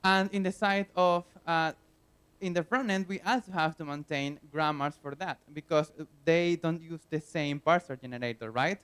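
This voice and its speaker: Venezuelan guy explaining stuff at a conference, highish voice, smooth and clear, lots of uhs. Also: moderate pace, fairly bright tone. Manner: earnest, plain explaining with frequent fillers. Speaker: conference speaker. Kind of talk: technical conference talk. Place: conference hall.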